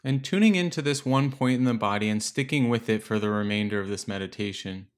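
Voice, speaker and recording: Male meditation guide, fairly low voice, gentle instructional delivery with spacious pauses.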